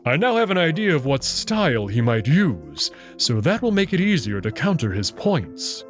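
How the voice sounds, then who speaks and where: A man playing a proud, confident wolf-man noble in a visual novel, formal and deliberate in his wording. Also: fairly high pitch, sing-song, faint music behind. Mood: assured. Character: proud, confident male wolf-man noble, playful but hot-tempered.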